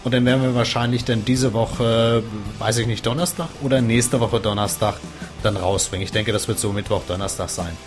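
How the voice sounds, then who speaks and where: A man's voice: medium pitch, a little fast. Guy hosting a tech podcast, chill and chatty, lots of ums, faint music under it. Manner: relaxed, chatty, with filler sounds. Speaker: podcast host. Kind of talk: casual tech podcast interview.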